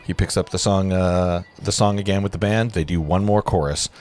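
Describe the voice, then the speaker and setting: Man, lower voice, script narrator, casual table read. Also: steady tone, normal speed, a little mellow. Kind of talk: reading stage directions aloud in a casual table read.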